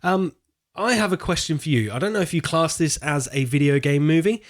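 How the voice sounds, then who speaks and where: A man's voice: on the high side, a little fast. A man co-hosting a podcast, chatting casually about films in an informal, rambling way as he thinks aloud. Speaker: podcast co-host. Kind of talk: casual film discussion chat.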